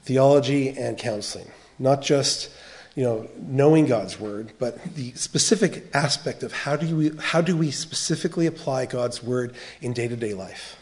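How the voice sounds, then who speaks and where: A man's voice: medium pitch, normal speed, a little mellow. Earnest middle-aged American man teaching. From United States. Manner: earnest, restarts phrases often. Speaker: biblical counseling trainer. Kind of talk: church teaching talk.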